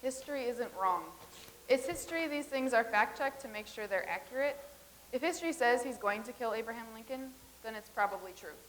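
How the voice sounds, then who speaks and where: Brief: actress as earnest, matter-of-fact woman rebel from the future, slightly high. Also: fairly quick, a little hiss or hum, natural voice, rough recording. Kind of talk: staged reading.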